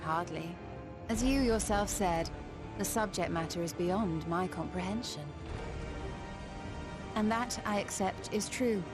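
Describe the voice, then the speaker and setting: A woman's voice: medium pitch, measured pace, pauses often, music in the background, natural voice. A woman playing a proud, wise sorceress and scholar in a fantasy video game, speaking in a composed, formal, archaic manner. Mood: composed, firm. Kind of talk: scripted video game drama acting.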